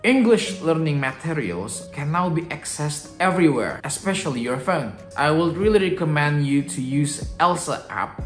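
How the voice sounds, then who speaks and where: Young man, echoey room. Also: a little high. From Indonesia. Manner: chatty, explains step by step, switches between English and Indonesian. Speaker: YouTuber and English tutor. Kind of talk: casual teaching vlog.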